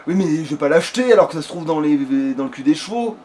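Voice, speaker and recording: A man's voice: fairly high pitch, lively intonation, very fast, darkish tone. A man doing casual, rambling let's play commentary with a friend, swearing crudely as he goes. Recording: background noise.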